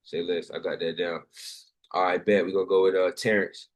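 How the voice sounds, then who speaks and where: A man's voice: sits low, level tone, fairly quick. Laid-back young man hosting a call. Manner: laid-back slang, short affirmations. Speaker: session host. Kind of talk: casual video call chat. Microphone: video call.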